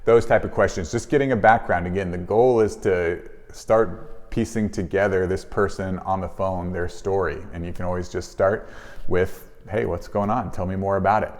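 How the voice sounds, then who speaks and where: A man's voice: low-pitched, steady tone, moderate pace, a little mellow. A man giving informal, conversational instructional advice as a chiropractor presenting to viewers, explaining in plain everyday words. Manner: conversational, explanatory, voices example questions and replies. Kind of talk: informal instructional talk to camera. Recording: very reverberant, echoey room.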